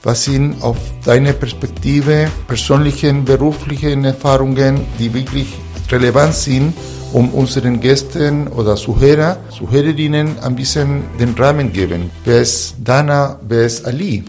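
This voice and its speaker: Male podcast host; careful, slightly halting phrasing, faint music under him. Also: mid-range voice, darkish tone. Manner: slow, careful phrasing with grammar slips. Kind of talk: hosting a podcast.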